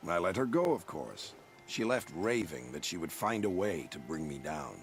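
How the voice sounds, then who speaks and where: An actor playing a slippery, falsely polite military villain in a video game, smooth and condescending. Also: male voice, sits low, big pitch swings, normal speed, soft background music. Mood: smug, dismissive.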